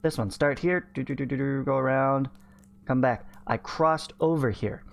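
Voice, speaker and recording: A man's voice: medium pitch, moderate pace, vocal fry, faint music behind. A man in his thirties teaching math, reading a textbook aloud and adding informal explanatory asides into a close microphone. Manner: informal, explanatory, often checking with "right?" and "okay". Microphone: close studio microphone on a stand.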